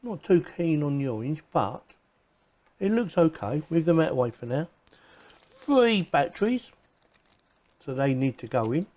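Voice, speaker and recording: A man with a soft, mellow voice talks through a gadget in an informal hands-on style. He sounds like he is thinking aloud, chatting casually to the viewer as he works things out. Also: fairly high pitch, sing-song, normal speed, pauses often. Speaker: video presenter reviewing gadgets. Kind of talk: casual hands-on unboxing commentary.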